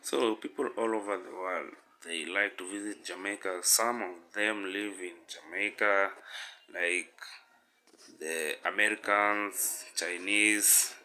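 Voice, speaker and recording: Low-voiced man hosting a channel intro. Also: fairly even intonation, slow, sharp tone. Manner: upbeat, direct to viewers. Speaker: channel host. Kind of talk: scripted intro narration.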